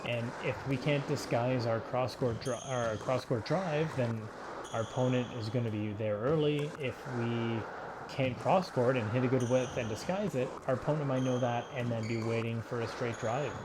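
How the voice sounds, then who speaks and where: A man with a medium-pitched voice gives instructional sports commentary as a coach. He explains in an informal, halting way, piecing his phrases together as he goes. Clear background noise with bouncing ball sounds runs behind his voice. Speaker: squash coach. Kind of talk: instructional sports commentary over drill footage.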